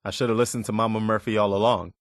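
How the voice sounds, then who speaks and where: An actor playing an earnest, wary militia man in a post-apocalyptic video game, speaking in a measured, conversational way. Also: lowish voice, level tone, no room sound, studio quality, natural voice. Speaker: video game companion character. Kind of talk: game voice acting, short spoken reactions.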